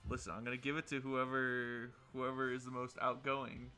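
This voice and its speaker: A young man with a bright, punchy voice chats casually while gaming. He is jokey and playful, and game music plays behind him. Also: medium pitch, completely flat delivery, moderate pace. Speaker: host and player of an online game battle.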